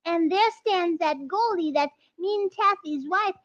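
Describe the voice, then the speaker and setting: Earnest american little girl, super high voice, muffled old radio. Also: measured pace, a bit bright, soft background music. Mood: indignant. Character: earnest, easily indignant little girl listener. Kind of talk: 1940s children's radio acting. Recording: muffled vintage radio recording.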